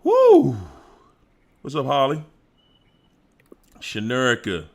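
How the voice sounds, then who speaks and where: A man hosting a relaxed livestream. He talks slowly and lets his lines hang. He hypes up the chat in a playful way, with bursts of whoops and sung bits. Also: mid-range voice. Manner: playful, hypes up chat, sings snippets and laughs. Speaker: livestream host. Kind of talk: casual livestream chat greeting viewers.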